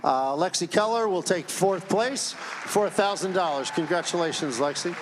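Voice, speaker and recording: Guy announcing on stage, bit high voice, big echoey hall, chatty and upbeat. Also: normal speed, a crowd in the background, background noise. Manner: upbeat, chatty, jokes with players. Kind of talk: live event announcing and interviews. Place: large indoor arena. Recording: echoey hall sound over PA.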